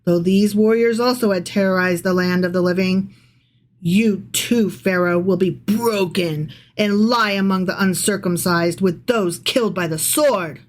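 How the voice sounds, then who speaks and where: A woman's voice: mid-range voice, measured pace. A woman with a mid-range voice co-hosts a casual podcast discussing the Bible. She is blunt and irreverent in how she talks and swears freely. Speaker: podcast co-host. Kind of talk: casual Bible discussion with some reading aloud.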